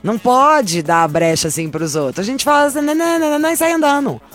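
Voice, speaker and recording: A man's voice: very high voice, lively intonation, a little fast, a bit bright, faint music behind. A man hosting a radio advice show, teasing and theatrical as he gives advice. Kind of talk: playful advice talk.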